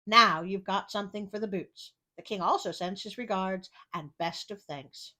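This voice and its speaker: A woman reads the part of a sly storybook tomcat in boots, bright and clear in intent. He is courtly and self-assured, a smooth-talking schemer who always sounds in charge. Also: mid-range voice, normal speed. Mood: confident, scheming. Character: clever, smooth-talking male cat in boots, confident schemer.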